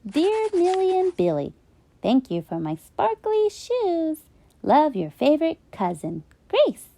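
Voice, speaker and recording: A woman's voice: sits high, wildly swooping pitch, takes it slowly, gravelly, dark tone, voice pushed up, full character voice. A woman voicing a cheerful little girl reading her thank you letters in a children's storybook, with a sing-song manner. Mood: cheerful, grateful. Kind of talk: children's storybook read-aloud.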